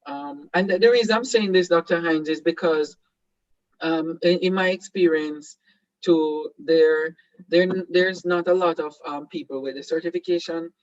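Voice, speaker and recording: A Jamaican man whose first language is Patois hosts an online seminar in a slightly high voice. He talks hesitantly, full of ums and restarts. The sound is dry and a little muffled. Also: moderate pace, no room sound. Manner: hesitant, many fillers and restarts. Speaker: host.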